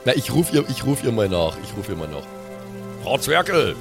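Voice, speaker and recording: A man's voice: lowish voice, big pitch swings, quick pace, music in the background. A man talking casually as a player in an improvised tabletop game.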